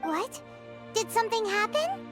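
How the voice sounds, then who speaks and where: A woman voicing a small, excitable fairy companion in an anime game, with a squeaky childlike voice and exclamatory manner. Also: measured pace, exaggerated voice. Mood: curious, surprised. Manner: exclamatory, refers to self in third person. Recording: music behind the voice.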